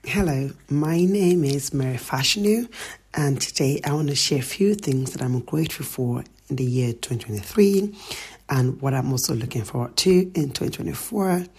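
A middle-aged woman reading a prepared gratitude message in a measured, earnest way. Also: a bass voice, a little mellow, breathy edge. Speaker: guest sharing a gratitude message.

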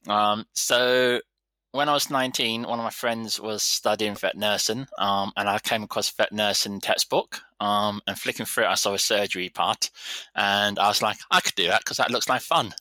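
Bright-voiced man in his thirties. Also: lowish voice, fairly even intonation, moderate pace, no echo. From London, England. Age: 30s. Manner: easygoing, rambling storyteller. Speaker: vet surgeon guest. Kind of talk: casual interview chat.

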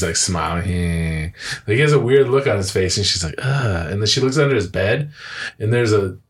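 A man hosting a podcast, joking loosely with his co-hosts and laughing often. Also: low-pitched. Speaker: podcast host. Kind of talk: casual podcast banter.

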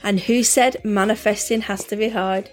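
A woman's voice: medium pitch, steady tone, normal speed, faint music behind. A woman in her forties hosting her own podcast, chatting casually and rambling with lots of fillers. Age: 40s. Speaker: podcast host. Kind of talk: casual solo podcast chat.

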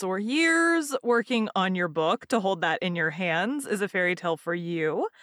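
A woman's voice: mid-range voice, a bit bright, no room sound, studio quality. A woman hosting a podcast, reading a book blurb and then asking her guest questions. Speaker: podcast host. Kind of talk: podcast interview.

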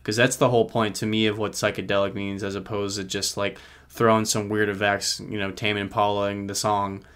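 Low-voiced young man reviewing songs casually. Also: level tone, brisk, fairly bright tone, clear, resonant tone. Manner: rambling, swears casually, many fillers. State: sore throat, feeling sick. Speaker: podcast co-host. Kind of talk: casual music review chat.

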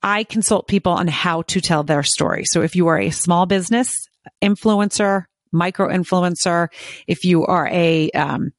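A woman's voice: on the low side, normal speed, dry recording, clean studio sound. A woman hosting an interview podcast, eager and chatty, talking in long run-on stretches. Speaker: podcast host.

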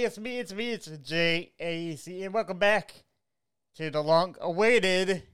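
A man's voice: fairly high pitch, fairly bright tone, clear, resonant tone. A man co-hosting a casual podcast, chatty and self-deprecating, with a slightly nasal, Muppet-like voice. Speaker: podcast co-host.

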